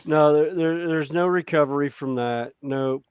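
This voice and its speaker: A man from the Deep South hosting a casual group chat and telling laid-back anecdotes. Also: a little slow, clean tone. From American Deep South.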